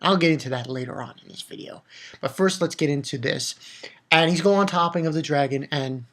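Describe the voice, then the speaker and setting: A man's voice: a little high, brisk, fairly bright tone. A man giving an unscripted, casual review as host, blunt and emphatic, swearing freely and rambling through repeated false starts. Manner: ranting, emphatic, blunt and profane. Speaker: manga review video host. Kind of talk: casual off-the-cuff review and recap.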